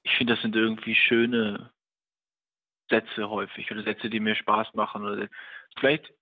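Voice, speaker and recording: A man's voice: lowish voice, level tone, moderate pace. A man being interviewed as a writer, reflecting on his own work in a tentative, searching way and often correcting himself. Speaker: writer and radio play director being interviewed. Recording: tinny phone-like line.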